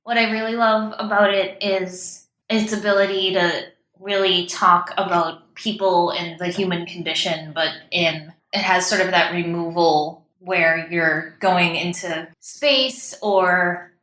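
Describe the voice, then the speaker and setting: A woman's voice: on the low side, fairly even intonation, unhurried, fairly bright tone. A woman chatting informally and enthusiastically as a guest playwright in an interview. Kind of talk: casual interview chat. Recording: roomy, echoing sound.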